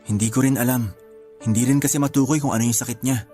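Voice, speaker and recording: An actor playing a quiet young man mourning the uncle who raised him. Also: medium pitch, steady tone, fast, soft background music, lightly acted. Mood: sad.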